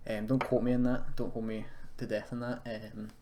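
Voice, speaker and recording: A man giving a casual software tutorial, rambling and thinking aloud with self-deprecating asides. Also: fairly low pitch, level tone, moderate pace. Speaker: tutorial host. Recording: clearly noisy, keyboard clicks and typing. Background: background noise, computer keyboard and mouse clicks.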